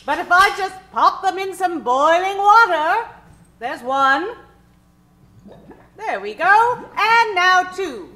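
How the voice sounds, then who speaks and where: Woman as a bright, singsong radio-ad housewife. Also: very high voice, expressive, slow, exaggerated voice. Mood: cheery. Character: cheery, obliging mid-century housewife in radio ad. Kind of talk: parody old-time radio commercial. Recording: echoey stage.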